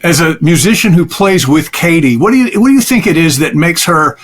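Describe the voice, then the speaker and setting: A man hosting a casual interview, asking questions in a halting, conversational way. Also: a little high, expressive. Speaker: interviewer, show host.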